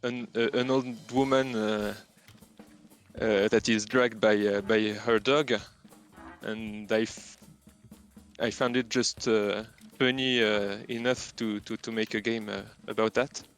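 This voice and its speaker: A man with a French accent, a native French speaker, answering casual interview questions haltingly as he searches for words. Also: fairly low pitch, fairly even intonation, faint music behind. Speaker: interview guest, game programmer.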